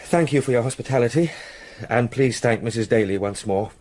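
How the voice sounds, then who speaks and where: An actor playing a courteous, earnest young English solicitor in a period gothic radio drama, well-spoken and polite. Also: male voice, medium pitch, a little fast, darkish tone, lightly acted. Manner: polite, well-spoken, talks fondly to a dog.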